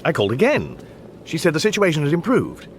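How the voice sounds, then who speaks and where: A man's voice: a little high, huge pitch swings, fast talker, a little hiss or hum. An actor playing a mild, baffled, apologetic Englishman with an English accent in a radio comedy drama, speaking hesitantly with dry understatement. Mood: bemused, rueful. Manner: hesitant, bemused, dryly understated.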